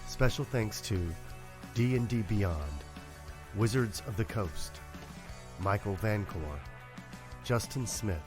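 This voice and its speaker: A man's voice: fairly low pitch, lively intonation, slow pace, long pauses, over music. A man reading scripted closing credits as an announcer.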